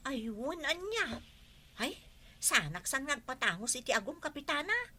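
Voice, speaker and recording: An actress playing a nosy, chirpy village helper in an Ilocano radio comedy, chatty and questioning, with an Ilocano accent. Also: female voice, high-pitched, very animated, a little slow, pushed and bright, exaggerated voice.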